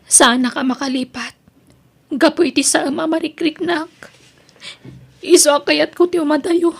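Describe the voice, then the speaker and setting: A woman's voice: high-pitched, steady tone, moderate pace, creaky. A woman playing an earnest young daughter in love, pleading, in an Ilocano family drama. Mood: sad, resolved. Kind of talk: Ilocano family radio-style drama acting.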